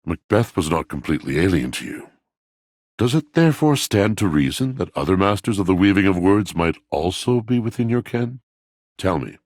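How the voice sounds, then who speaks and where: A very low, rough-edged male game character, a lovelorn lover of poetry. He speaks in grand, florid swells with theatrical pauses. The sound is close and dry. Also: no room sound, heavily acted. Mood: delighted, rapturous. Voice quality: deep, gravelly. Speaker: voice actor in a video game.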